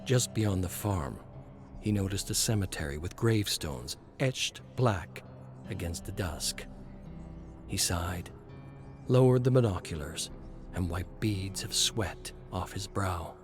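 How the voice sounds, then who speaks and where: A man reading scripted story narration in a measured, deliberate way. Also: low voice, animated, music in the background.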